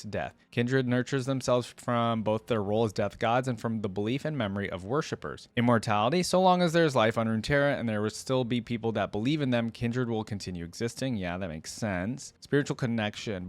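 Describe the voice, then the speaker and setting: A man's voice: mid-range voice, no room sound. A man giving casual reaction commentary, reading lore aloud and adding curious, informal asides as he goes. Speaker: reaction video creator.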